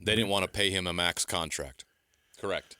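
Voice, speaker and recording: A man's voice: sits low, normal speed, pushed and bright, no echo, studio quality. A man with an American accent co-hosting a sports radio show, plain-spoken and conversational. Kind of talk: casual sports talk discussion.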